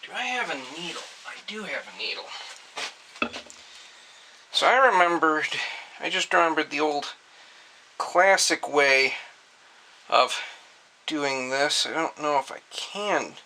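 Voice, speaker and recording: A man's voice: fairly high pitch, lively intonation, measured pace, pauses often, bright, forceful tone, slight background noise. A man casually demonstrating a hands-on repair, thinking aloud as he works. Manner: thinking aloud while working. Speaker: host of an antique radio repair video.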